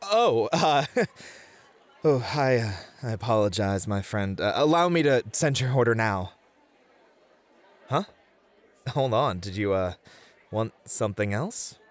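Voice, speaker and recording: A man playing a lively, sassy bunny-boy host. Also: mid-range voice, slow pace, pauses often, some chatter behind. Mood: flustered, apologetic.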